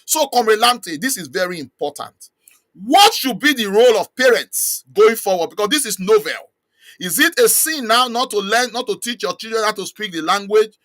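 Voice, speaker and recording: A man's voice: high-pitched, animated, moderate pace, a bit bright. A man from Nigeria hosting an interview and asking earnest, probing questions. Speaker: host.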